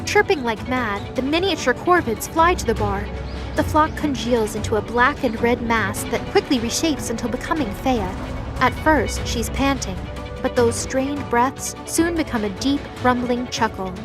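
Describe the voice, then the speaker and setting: A woman's voice: fairly high pitch, expressive, moderate pace, over music. A woman reading scripted, dramatic story narration as the narrator of an audio drama.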